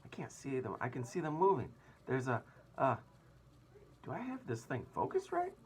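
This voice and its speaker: An agitated storybook ship's captain, acted out by a man, blustering and stammering in astonishment. The pitch climbs high and swings widely, with loud, incredulous bursts. A light noise sits behind the voice. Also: wildly swooping pitch, moderate pace. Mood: flabbergasted. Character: agitated, astonished male ship's captain peering through telescope.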